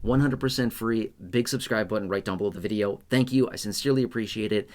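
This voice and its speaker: A man's voice: fairly low pitch, fast, a little mellow. A man hosting an explainer video, talking casually and reassuringly straight to his viewers. He repeats key points and checks in often with "okay?". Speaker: YouTube explainer host.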